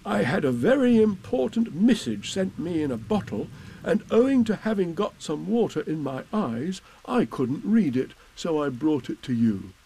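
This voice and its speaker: A man voicing a proud, slow-witted, lovable bear in a children's story, growly and deliberate. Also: a little high, very animated, creaky, mellow, breathy edge, pitched above the performer's own voice, heavily acted, poor audio.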